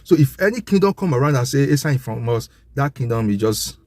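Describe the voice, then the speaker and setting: A man's voice: medium pitch, animated, fast talker, darkish tone, no echo. A Nigerian man speaks in a mid-range voice, delivering informal commentary with conviction. He is assertive and emphatic, restating points for weight. The sound is dry, with little room in it. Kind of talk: impassioned informal monologue to an audience.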